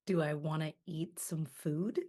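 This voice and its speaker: A woman's voice: deep voice, fairly even intonation, a little slow, dark tone. A woman playing a shy, awkward, friendly young woman in a live comedic table read. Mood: flustered.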